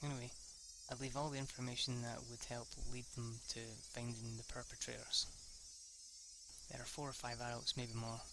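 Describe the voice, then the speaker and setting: A young man reading a visual novel aloud in the first person, halting and correcting himself, with casual swearing now and then. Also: medium pitch, flat delivery, noisy background. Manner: breaks off with casual swearing asides. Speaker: amateur reader voicing a visual novel. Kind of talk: casual first-person read-through of game text. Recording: loud game music behind voice.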